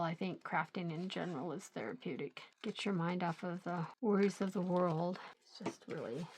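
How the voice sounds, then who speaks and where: A middle-aged woman hosting a crafting channel, a little hoarse, chatting in a relaxed, rambling way while she works. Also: on the low side, heavy background noise. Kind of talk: casual chat while crafting.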